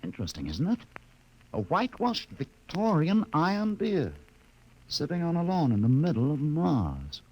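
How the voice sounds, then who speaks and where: An actor playing a musing, observant scientist on a space expedition in a 1950s radio drama. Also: male voice, on the high side, huge pitch swings, moderate pace, darkish tone. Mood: curious, musing. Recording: noisy old 1950s radio recording.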